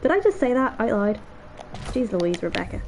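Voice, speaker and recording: A woman's voice: on the high side, sing-song, moderate pace, darkish tone, a little hiss or hum. Chatty woman gamer with slightly stuffy nose. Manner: chatty, rambling, self-deprecating. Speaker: gaming video host. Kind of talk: casual let's play commentary.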